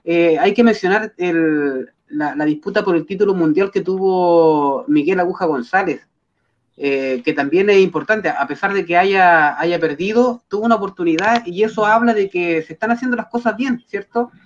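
A Chilean man hosting a boxing talk show, speaking in a measured way with many fillers and tag questions. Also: high-pitched, dull audio. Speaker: host.

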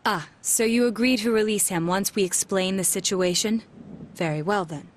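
A woman playing a calm, analytical young detective in a video game, speaking in a formal, measured and precise way. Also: mid-range voice, a little hiss or hum, natural voice. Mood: composed. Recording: music under the dialogue.

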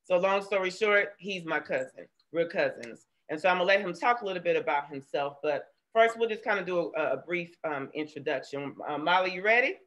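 A woman in her thirties hosting a casual interview over a video call, chatty and quick to laugh. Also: lowish voice, animated, fairly bright tone. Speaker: host. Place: room at home. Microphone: webcam on video call.

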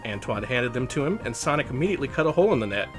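Animated man reading a storybook. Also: medium pitch, racing, music in the background. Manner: theatrical. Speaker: storybook reader on a livestream show. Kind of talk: expressive storybook narration.

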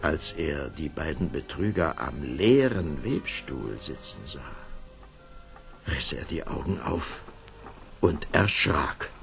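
A storyteller in a fairy-tale radio play, expressive and richly varied. His voice sits low, with a gravelly creak. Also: slow, faint music behind, full character voice. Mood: storytelling. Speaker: narrator.

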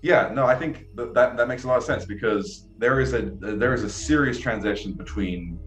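A man's voice: mid-range voice, moderate pace. A young adult man interviewing over a video call, enthusiastic and rambling, often restarting his sentences. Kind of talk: casual interview chat. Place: small room, indoors. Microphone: video call, earbuds. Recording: echoey room with faint music.

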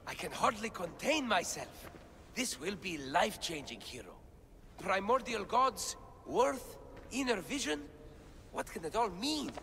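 An anxious scholar from a video game, plaintive and full of self-doubt. The voice is high and bright, swinging widely as it frets. It rings with long reverb. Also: male voice, very animated, measured pace, heavily acted. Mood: excited. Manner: fretful, plaintive, emphatic. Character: anxious, self-doubting male scholar translating ancient relics. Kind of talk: dramatic video game cutscene acting. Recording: long cavernous reverb, clear background noise.

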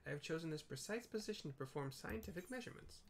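A man's voice: on the high side, lively intonation, quick pace, gravelly, slight background noise. A man voicing a small, indignant, self-important student researcher from a fantasy game, haughty and exclamatory. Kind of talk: reading game dialogue aloud.

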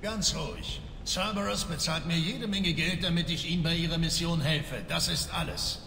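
Brash game mercenary, cynical, blunt, higher-pitched, echoey room, faint ambience. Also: male voice, moderate pace, gravelly, pushed and bright, slight background noise. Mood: cynical, businesslike. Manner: blunt, brusque. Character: brash, cynical male mercenary, hardened and businesslike. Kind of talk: video game voice acting.

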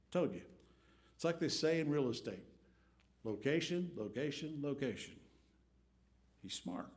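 A middle-aged man preaching a storytelling sermon from a church pulpit, swinging between big acted-out crowd voices and quiet asides. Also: medium pitch. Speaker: pastor. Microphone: headset mic.

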